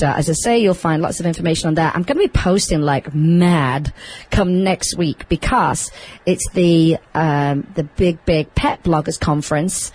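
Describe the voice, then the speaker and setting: A middle-aged woman hosting a pet talk show, loud and chatty, laughing often. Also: low-pitched, poor audio. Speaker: podcast host. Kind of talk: casual chat.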